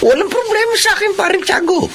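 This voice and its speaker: Sly, swooping, high-pitched lecherous man. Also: lively intonation, quick pace. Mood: jovial, sly. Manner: exaggerated, oily, chuckling. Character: sly, lecherous male drinking buddy, over-friendly and fawning. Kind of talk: Filipino radio drama acting. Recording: radio drama with music underneath.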